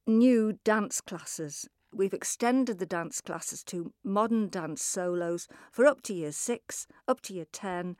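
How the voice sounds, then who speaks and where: Brisk Manx woman explaining festival classes. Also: fairly low pitch, a little mellow, dry recording, clean studio sound. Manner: brisk, informative, lightly humorous. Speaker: chairperson of a music, speech and drama festival. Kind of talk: interview answers.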